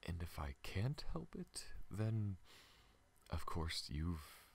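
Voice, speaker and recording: A man voicing a gentle, shy, apologetic alien in an intimate ASMR roleplay, soft-spoken and hesitant, often slipping into a whisper. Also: deep voice, big pitch swings, takes it slowly, vocal fry, breathy edge, heavily acted. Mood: tender, earnest.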